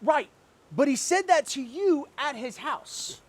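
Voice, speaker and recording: Man playing a high-pitched, cocky, joking twin brother. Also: lively intonation, slow pace, heavily acted. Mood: insistent, needling. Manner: teasing, flippant. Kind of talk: amateur dramatic script reading.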